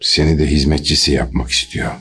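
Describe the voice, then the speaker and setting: A man voicing a timid old fisherman, very deep. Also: flat delivery, a little fast, fairly bright tone, slightly rough, exaggerated voice. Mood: apologetic, anxious. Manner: hesitant, deferential. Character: timid, downcast elderly fisherman, humbly pleading.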